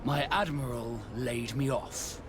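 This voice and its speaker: An actor playing a reserved, proud sea captain in a video game, terse, blunt but courteous. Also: male voice, medium pitch, slow, noisy background, close to an everyday voice. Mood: guarded resentment. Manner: terse, guarded, understated. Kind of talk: dramatic video game acting.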